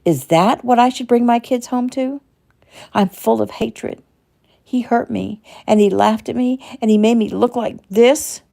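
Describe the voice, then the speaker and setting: Brief: woman doing a raging patient, high and loud, gravelly edge, close to tears. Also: a little slow. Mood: furious. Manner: shouting, near tears. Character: furious traumatized young woman patient.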